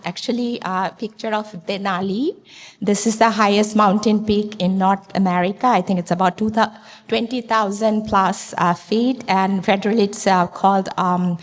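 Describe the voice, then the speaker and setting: A woman from Bangladesh speaking earnestly as a climate panelist, with small restarts. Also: medium pitch. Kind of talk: conference panel talk. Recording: reverberant hall.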